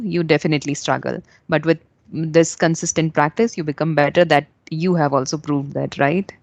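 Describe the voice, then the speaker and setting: A woman's voice: deep voice, no room sound. An Indian woman hosting a casual English practice conversation, earnest and encouraging, speaking English as a second language. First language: not English. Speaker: host.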